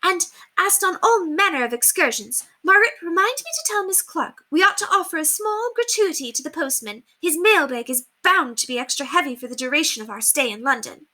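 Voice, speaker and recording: A haughty society lady from a storybook, as a woman reader acts her out, speaks in a high, swooping voice. She is gushing, imperious and theatrical, full of eager self-importance. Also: lively intonation, fairly quick, hardly any pauses, pushed and bright, full character voice. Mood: gushing, expectant. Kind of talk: storybook character voice in a read-aloud.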